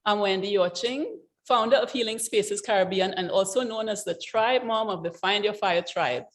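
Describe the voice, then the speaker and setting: A woman hosting an online group event who gives a guest speaker a warm, effusive introduction over a video call. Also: medium pitch, normal speed. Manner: warm and effusive in her praise. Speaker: host and founder of the group.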